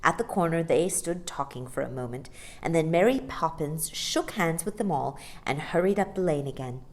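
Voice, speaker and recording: Female storytime reader for children's fiction: slightly low register, highly varied intonation, expressive narration. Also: moderate pace.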